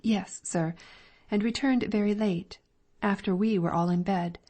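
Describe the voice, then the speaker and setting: A woman's voice: mid-range voice, a little slow, warm tone, lightly acted. A woman reading the part of a respectful older landlady who plainly and deferentially reports to a detective in an audiobook. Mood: earnest.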